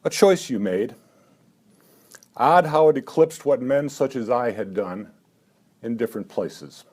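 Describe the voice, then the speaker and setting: A man in his seventies at a live poetry reading, talking haltingly between poems with dry, self-mocking asides and lifting his voice for the verse. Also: medium pitch, expressive, a little mellow. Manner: halting talk with many "uh" fillers. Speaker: poet.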